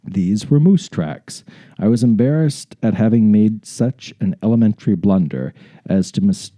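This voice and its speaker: A man's voice: fairly low pitch, lively intonation, moderate pace, mellow, no room sound, clean studio sound. A man co-hosting a podcast, reading a story aloud and breaking into casual, irreverent and profane asides.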